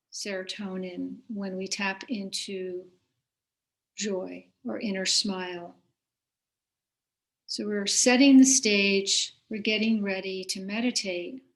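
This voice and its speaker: A woman leading a guided meditation as a teacher, giving gentle, unhurried instructions with pauses between phrases. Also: mid-range voice, low-quality recording.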